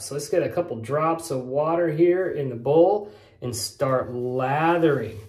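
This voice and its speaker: A man in his forties hosting a casual shaving vlog, talking in a chatty, rambling way while lathering and shaving in a small home bathroom. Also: a little high. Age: 40s. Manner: chatty, rambling, folksy explanations. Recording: echoey bathroom.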